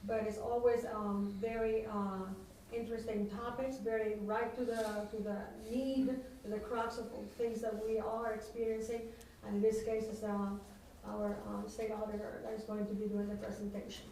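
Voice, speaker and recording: A council member sharing announcements hesitantly, often searching for words. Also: female voice, medium pitch, flat delivery, moderate pace, dark tone, slight background noise. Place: council chambers. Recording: very echoey chamber, distant mic.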